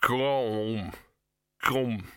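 A man voicing a hoarse, cynical, drunk small street dog in a storybook, grumbling and lecturing in maudlin self-pity. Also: mid-range voice, very slow, dry recording, clean studio sound.

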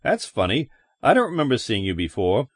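A man's voice: mid-range voice, wildly swooping pitch, a little fast, slightly rough, no echo, clean studio sound. A man voicing a friendly, blunt young man who is curious about a stranger.

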